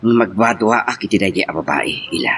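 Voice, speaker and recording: Guy acting gossipy friendly man in an audio drama, a bit deep, slight background noise. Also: brisk, fairly bright tone, close to an everyday voice. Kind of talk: Ilocano radio-style drama acting.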